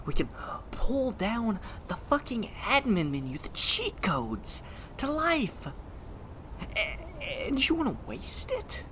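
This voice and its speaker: A woman's voice: on the high side, huge pitch swings, takes it slowly, a bit bright, a little hiss or hum, full character voice. A woman acting in an audio drama as a stammering, exasperated, slangy, foul-mouthed superpowered slacker who follows the rules reluctantly. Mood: angry, exasperated.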